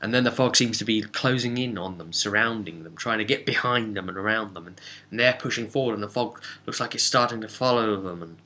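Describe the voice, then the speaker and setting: Guy recapping his rpg session, lowish voice but bright and punchy, pauses for drama. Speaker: game master recapping a session. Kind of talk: casual storytelling recap of a tabletop game.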